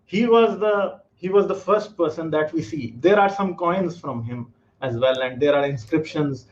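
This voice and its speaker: A man's voice: a little high, moderate pace, darkish tone, a little hiss or hum. A man from Pakistan, calling in and explaining his views earnestly, with many fillers. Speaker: caller. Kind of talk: call-in discussion. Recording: muffled lo-fi call audio.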